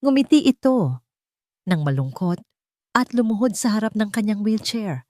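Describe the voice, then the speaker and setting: A woman's voice: mid-range voice, very animated, moderate pace, warm tone, dry recording. A woman with a Filipino accent reading a scripted dramatic story as a narrator. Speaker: audiobook narrator.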